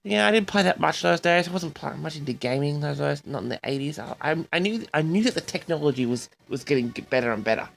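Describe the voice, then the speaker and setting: A man in his sixties from Australia hosting a personal audio log, reminiscing in a rambling, halting and boastful way straight to his listeners. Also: a little high, vocal fry. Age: 60s. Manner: boastful, halting, often addresses the audience.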